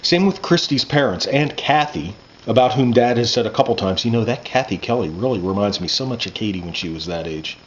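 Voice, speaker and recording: A man, an author reading his own first-person coming-of-age fiction aloud in a conversational, expressive way. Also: lowish voice, a little fast. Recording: muffled lo-fi with background noise.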